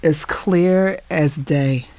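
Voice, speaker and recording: A woman speaking as a panelist in an earnest, reflective discussion, emphatic and deliberate, repeating phrases for weight. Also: low-pitched, warm tone.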